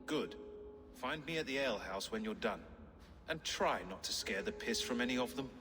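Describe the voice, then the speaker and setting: An actor playing a wry, diplomatic Saxon companion in a video game, tactful with a dry teasing manner. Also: male voice, medium pitch, animated, moderate pace, pushed and bright, long reverb tail. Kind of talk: video game cutscene acting.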